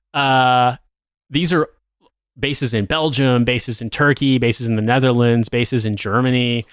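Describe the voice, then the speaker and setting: A man's voice: medium pitch, no echo. Man reading news aloud, dry mic. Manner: measured reading, amused and incredulous in chat. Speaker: podcast host. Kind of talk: reading an article aloud, then casual chat.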